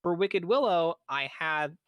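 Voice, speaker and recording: A man's voice: fairly high pitch, animated, measured pace, fairly bright tone, no room sound. A man speaking as a game writer on a panel, explaining things in a thoughtful, methodical way.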